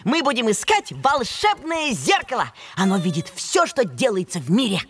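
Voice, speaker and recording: A woman voicing a playful, eager, kind-hearted little boy dragon in a children's cartoon, expressive and childlike. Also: on the high side, moderate pace, very noisy. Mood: caring, earnest. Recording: music behind the voice.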